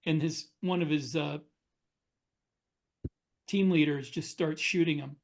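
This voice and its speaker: A man's voice: on the high side, fairly even intonation, unhurried, creaky. A man co-hosting a podcast, telling things informally in casual conversation with fellow writers. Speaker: podcast co-host and military sci-fi author. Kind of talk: casual chat, informal storytelling.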